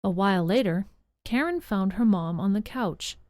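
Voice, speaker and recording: A woman's voice: medium pitch, expressive, moderate pace, a little mellow, dry recording. A woman narrator reading scripted storybook narration for an audio drama. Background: music behind the voice.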